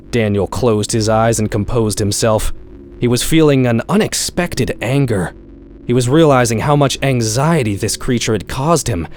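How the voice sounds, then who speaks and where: A man reading a horror-comedy short story aloud in an expressive, wry, profane style. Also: medium pitch, a little hiss or hum. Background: background music.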